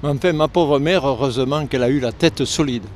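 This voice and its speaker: An older man with a southern French accent from Lozère speaks earnestly, pausing and restarting when the subject turns painful. His voice sits at an ordinary middle pitch. Faint urban noise hums around him outdoors. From Lozère, southern France. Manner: earnest, halting when emotional. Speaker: interviewee, retired air force serviceman. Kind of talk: street interview. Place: outdoors on a city street.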